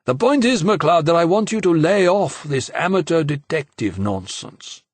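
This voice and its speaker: A man's voice: fairly high pitch, lively intonation, moderate pace, no room sound, voice pushed up. A man voicing a fussy, pompous male university president in an audiobook, testy and scolding. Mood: stern. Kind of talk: audiobook character acting.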